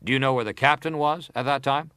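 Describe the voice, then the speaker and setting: Casting: actor for middle-aged male senator in hearing drama, medium pitch, probing and formal, deliberate delivery, close dry recording. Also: bright, forceful tone, clear, resonant tone, no room sound, close to an everyday voice. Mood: probing, insistent. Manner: deliberate, formal, pressing questions. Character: probing, persistent senator questioning a witness. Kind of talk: dramatised reading of hearing interrogation.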